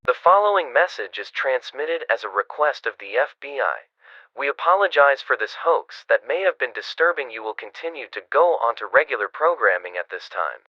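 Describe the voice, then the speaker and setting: A man's voice: medium pitch, big pitch swings, normal speed, no echo. A man reading a scripted news bulletin as an announcer, in dull lo-fi broadcast audio.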